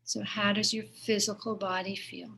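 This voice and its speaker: A woman teaches a guided meditation in a medium-pitched voice. She gives her instructions gently and without hurry, leaving pauses between short phrases. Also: flat delivery, slight background noise, poor audio.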